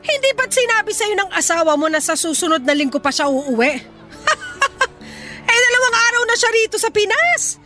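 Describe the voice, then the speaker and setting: A woman's voice: extremely high pitch, big pitch swings, moderate pace, music in the background. A woman playing a blunt, suspicious, scolding mother of a grown daughter in a Filipino radio drama. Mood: insistent, accusing. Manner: blunt, nagging.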